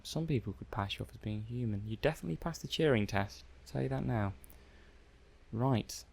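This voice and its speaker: A man playing a curious, methodical robot-testing technician in a soft-spoken ASMR roleplay, musing hesitantly as he thinks aloud. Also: medium pitch, brisk, gravelly, husky. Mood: intrigued, musing. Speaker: roleplay performer.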